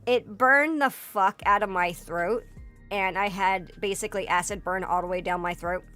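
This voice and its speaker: A hoarse woman streamer in a casual livestream chat, rambling with lots of fillers and swearing. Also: mid-range voice, moderate pace, pushed and bright. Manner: rambling, filler-heavy and profane, breaks into laughter. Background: faint background music.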